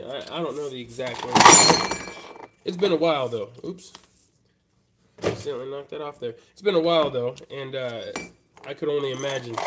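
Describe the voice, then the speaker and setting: A man's voice: mid-range voice, very noisy. A man with a stuffy, congested voice from a head cold, chatting casually with live-stream viewers while working at a bench. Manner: laid-back, chatty, talks straight to viewers. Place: hobby workbench.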